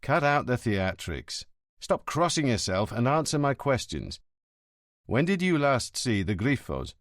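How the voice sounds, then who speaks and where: A man's voice: mid-range voice, very animated, unhurried, no echo. A man voicing a brusque, no-nonsense police inspector who speaks curtly and gives orders. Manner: curt, commanding, dry. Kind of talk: audiobook character voice.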